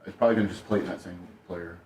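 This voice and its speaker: A man's voice: low voice, steady tone, racing, a little mellow, distant mic. A man in casual conversation, giving practical technical instructions like a crew member. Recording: echoey room, off-mic, faint noise.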